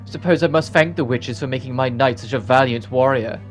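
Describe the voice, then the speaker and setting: A sly, haughty knight commander who hunts witches, a woman character read by a man. The delivery is condescending and taunting, at a medium male pitch. Faint game music sits behind the fantasy dialogue. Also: a little fast, lightly acted. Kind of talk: reading game cutscene dialogue.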